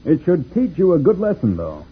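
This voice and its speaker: An actor playing a calm, seasoned, fatherly spaceship commander who explains things reassuringly in an old-time radio serial. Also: on the high side, moderate pace, slightly rough, full character voice. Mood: reassuring, instructive. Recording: tinny, noisy old radio recording.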